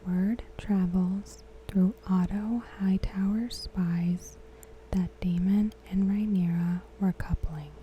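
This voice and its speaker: Slow, dark whispering woman. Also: medium pitch, fairly even intonation, slight background noise, rough recording. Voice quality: soft, breathy whisper. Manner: measured, deliberate, hushed delivery. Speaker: ASMR host. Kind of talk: whispered ASMR scripted recap narration.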